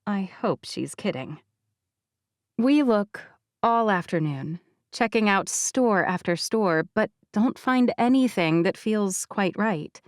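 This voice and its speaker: A woman reading a first-person audiobook, measured and conversational. Her pitch moves a lot while the pace stays slow and easy. Recorded dry and close in a studio. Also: animated, no echo. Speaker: audiobook narrator.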